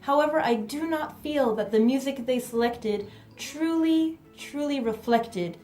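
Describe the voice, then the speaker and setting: A woman's voice: high voice, unhurried, dark tone, faint music behind, voice pushed up. A woman putting on a stuffy, overly formal way of talking, like someone lecturing friends about a movie. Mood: pompous. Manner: stiff, pompous diction.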